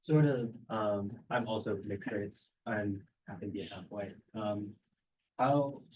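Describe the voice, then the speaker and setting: A man's voice: lowish voice, takes it slowly, darkish tone. Distant, muffled man asking casually. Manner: peppers speech with "like". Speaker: audience member asking a question. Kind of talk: casual, hesitant questioning. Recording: distant, muffled, faintly noisy pickup.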